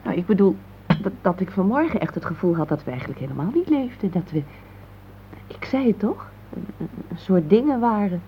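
A woman's voice: mid-range voice, lively intonation, brisk, lightly acted. An actress playing a wistful married woman in a Dutch radio play, reflective and searching for her words. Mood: melancholy, searching. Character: wistful married woman longing for lost romance. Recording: dull, noisy old radio recording.

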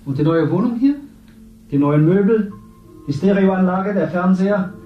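A man's voice: high-pitched, normal speed, dull audio, lightly acted. A man playing a naive actor cast as a government minister, talking earnestly and self-assuredly in a 1970s radio drama. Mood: proud. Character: male actor turned TV minister, eager, naive. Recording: roomy distant radio play with music.

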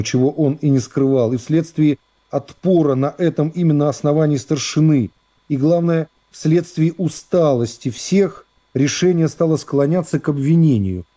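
Mellow male narrator of radio literature. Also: medium pitch. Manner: dramatic reading of classic prose.

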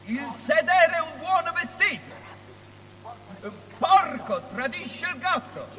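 An actor playing a ranting prophet who declaims cryptic doom in oracular shouts, in an avant-garde radio play. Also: male voice, very high voice, sing-song, slow pace, heavily acted. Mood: raving. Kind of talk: avant-garde theatrical radio drama acting. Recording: cavernous reverb, faint hum and noise.

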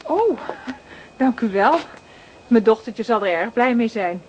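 Flustered young mum, highish voice, chatty but hesitant, radio drama, bit of noise. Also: female voice, very animated, unhurried, darkish tone, muffled recording. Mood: grateful, chatty. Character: flustered, chatty young mother at her front door. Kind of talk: Dutch radio drama acting. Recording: slightly noisy recording.

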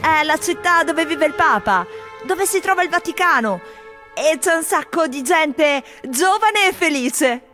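A woman's voice: high-pitched, lively intonation, a little slow. A woman playing foreign tourists in Rome, reciting interview answers expressively in a theatrical radio piece. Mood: bright, excited. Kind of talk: scripted theatrical monologue in Italian radio drama. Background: faint music.

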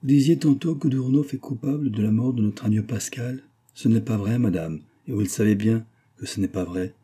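A man's voice: on the low side, brisk, mellow, husky. A man voicing a pious, dignified old woman servant who speaks in solemn, firm reproach in a storybook reading. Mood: accusing grief. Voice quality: grave voice. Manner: solemn, plain-spoken, firm.

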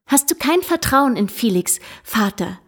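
A defiant, impassioned young daughter in a radio play. Her voice sits fairly high, and she speaks directly and with stubborn determination. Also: big pitch swings, unhurried, heavily acted. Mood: indignant. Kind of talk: radio drama acting.

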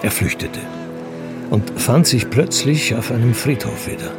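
An actor narrating a radio crime drama in a sober, scripted manner. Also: male voice, medium pitch, a little slow, loud music behind the voice, close to an everyday voice. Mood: measured, atmospheric.

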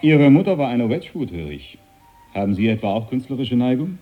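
An actor playing a stern, devout British naval captain in a radio drama, brusque, blunt and commanding. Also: male voice, medium pitch, very animated, a little fast, phone-quality sound, faint music behind. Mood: brisk, hearty.

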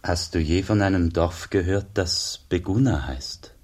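Radio drama monk: humble and pious, low husky voice, grave and measured delivery. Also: male voice, a little mellow. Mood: quiet, hesitant. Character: humble, pious wandering monk, soft-spoken and weary. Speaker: actor, also narrating as the monk. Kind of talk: German radio drama acting.

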